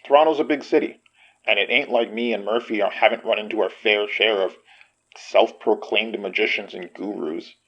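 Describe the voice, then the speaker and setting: A blunt, sardonic detective for a podcast drama. His voice is creaky and gravelly, recorded with a slightly muffled tone. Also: on the low side, moderate pace, fairly bright tone. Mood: insistent. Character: gruff, skeptical male detective. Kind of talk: audio fiction podcast acting.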